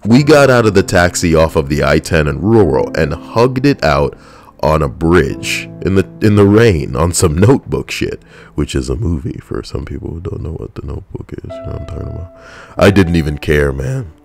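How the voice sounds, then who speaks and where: A man's voice: a bass voice, lively intonation, normal speed, vocal fry, faint music behind. A young adult man, a military veteran, telling a personal story in a blunt, profane, conversational way.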